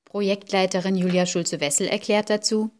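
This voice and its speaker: A woman reporter reading scripted narration in a clear, neutral way. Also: mid-range voice, fairly even intonation, fairly quick, darkish tone.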